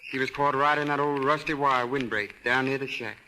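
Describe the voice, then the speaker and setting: An actor playing a devoted grown American country son, careful, soothing and plain-spoken, in 1930s radio drama style. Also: male voice, medium pitch, fairly even intonation, brisk, noisy background, natural voice. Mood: soothing, reluctant. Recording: old 1930s radio broadcast, faint music.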